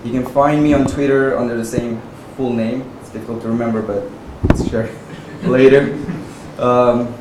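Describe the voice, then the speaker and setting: A man's voice: mid-range voice, steady tone, normal speed. A man from Nepal in his thirties giving a conversational tech talk to a meetup audience, peppering his sentences with "uh" and "right?". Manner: conversational, frequent "uh" and "right?" tags. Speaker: conference speaker. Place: meetup venue, audience present. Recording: echoey room from far off, noisy.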